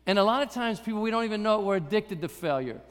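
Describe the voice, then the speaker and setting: A man preaching a sermon in a church with a raspy voice, talking conversationally with self-deprecating humor. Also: high-pitched, lively intonation, fast talker, long reverb tail. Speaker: guest preacher.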